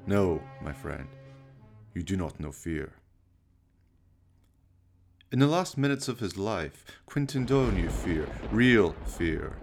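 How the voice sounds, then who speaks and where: A man playing a sinister, courtly fireside storyteller, narrating a horror radio play with slow, mock-polite, menacing theatricality. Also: deep voice, big pitch swings, vocal fry, soft background music, full character voice. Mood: menacing, scornful.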